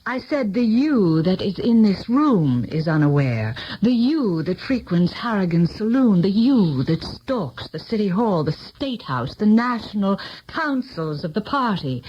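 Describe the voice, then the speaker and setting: An actress playing an earnest, enigmatic woman who speaks in measured, cryptic statements in a 1970s radio mystery. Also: mid-range voice, very animated, a little hiss or hum. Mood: quietly insistent. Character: earnest, enigmatic woman calmly warning a powerful man. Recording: muffled vintage radio broadcast.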